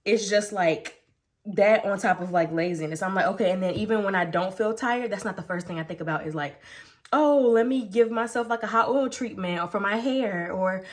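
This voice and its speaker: A woman's voice: mid-range voice, moderate pace. Nasal, congested young woman chatting. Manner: rambling, filler-heavy, frequent "like" and restarts; talks while oiling her hair. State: pregnant. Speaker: beauty vlogger. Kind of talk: casual chat while doing her hair. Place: home vanity room, indoors.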